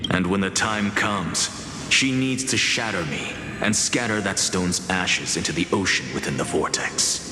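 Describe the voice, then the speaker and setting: An actor playing a flamboyant, smug genius alchemist in a video game, theatrical and self-assured, savouring each word. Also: male voice, low voice, measured pace. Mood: smug confidence. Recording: echoing hall reverb under music.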